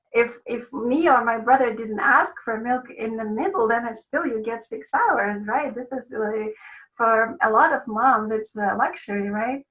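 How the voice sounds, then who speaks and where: A woman's voice: fairly high pitch, fairly bright tone, far from the mic, low-quality recording. A woman from Russia, a guest sleep consultant speaking in a casual interview, thoughtful and hedging with many ums and restarts.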